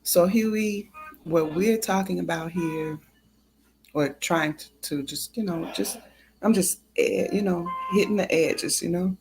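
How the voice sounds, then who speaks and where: A livestream host speaks casually at a slow pace, in a mid-pitched voice. The delivery is halting, with drawn-out gaps between phrases. Faint music sits behind the voice. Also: gravelly, poor audio.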